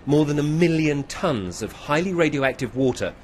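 A man's voice: a little high, animated, normal speed, slight background noise. A man narrating a scripted news report.

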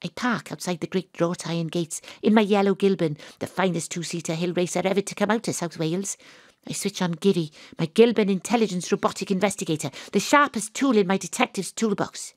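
An actress playing a sympathetic, self-mocking private detective, narrating an audio drama in a theatrical, wry manner. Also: female voice, on the low side, brisk, dry recording, studio quality, close to an everyday voice. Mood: atmospheric, florid. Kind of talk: first-person audio drama narration and dialogue.

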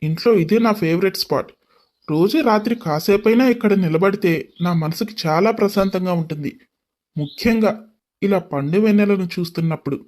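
Man playing a high-voiced brash young lover. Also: moderate pace, a little mellow, close to an everyday voice. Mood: romantic.

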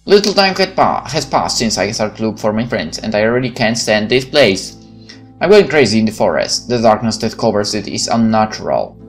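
A man reading a visual novel aloud in a theatrical, expressive manner, with spoken asides. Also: lowish voice, fairly quick. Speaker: gaming channel narrator. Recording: music behind the voice.

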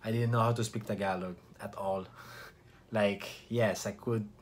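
A young man with an Italian accent, from Italy, chatting to camera in a casual vlog with relaxed restarts and short pauses. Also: lowish voice. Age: young adult. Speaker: vlogger.

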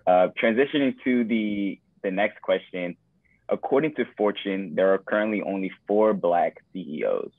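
A man's voice: lowish voice, moderate pace, no room sound. A young man interviewing politely over a video call, reading out his questions. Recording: dry tinny phone-like line.

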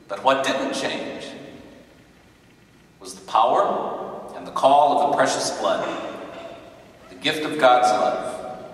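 An older man, a priest, delivering a measured homily with repeated refrains from a lectern in a large church. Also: medium pitch, lively intonation, recorded from a distance. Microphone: stand mic on lectern, far camera. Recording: echoey church from afar.

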